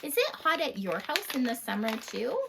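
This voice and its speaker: A woman hosting a read-aloud for young children, expressive and full of questions, sometimes dropping into a whisper, recorded at home. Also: high-pitched, normal speed, vocal fry, background noise. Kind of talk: animated children's storybook read-aloud with chat to the listeners.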